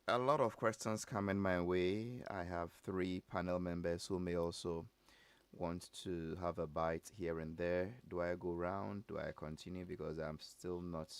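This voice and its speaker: A man's voice: low voice, steady tone, unhurried, smooth, no room sound. A man hosting a radio talk show, teasing his panel and often laughing. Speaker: radio entertainment show host. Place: radio studio. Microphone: studio microphone.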